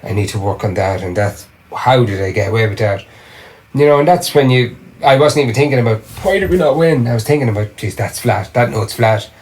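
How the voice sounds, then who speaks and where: A man's voice: mid-range voice, a little fast. Rambling middle-aged Irish man. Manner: rambling, restarts often, animated storytelling. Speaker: singer and drummer, podcast guest. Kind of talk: casual interview chat.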